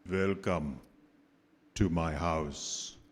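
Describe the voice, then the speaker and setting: A man playing a sinister, courtly vampire count in a gothic radio drama, slow, deliberate and formal. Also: rumbling low, slight background noise, exaggerated voice. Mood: sinister courtesy. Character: sinister, courtly Count Dracula, posing also as coachman.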